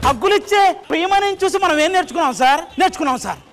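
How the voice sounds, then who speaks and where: A male comedian performs a mock-pleading stage monologue. His voice is very high and quick, theatrical in delivery, with music behind it. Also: expressive. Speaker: comedian team leader. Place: TV studio stage.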